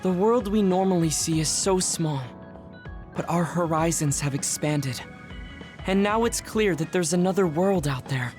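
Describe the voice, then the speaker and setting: A woman's voice: on the low side, measured pace, darkish tone, faint music behind, natural voice. A woman playing a thoughtful, composed young soldier in an anime game dub. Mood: reflective.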